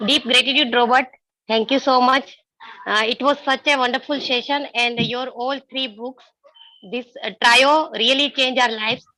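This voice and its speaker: Bright-voiced Indian woman. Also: sits high, fairly even intonation, moderate pace, no room sound, rough recording. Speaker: audience participant, psychologist. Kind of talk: spontaneous testimonial.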